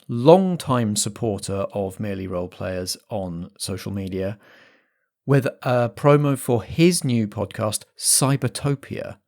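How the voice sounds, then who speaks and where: A man's voice: mid-range voice, darkish tone. A man speaking as a podcast host and game master, delivering a scripted announcement to listeners.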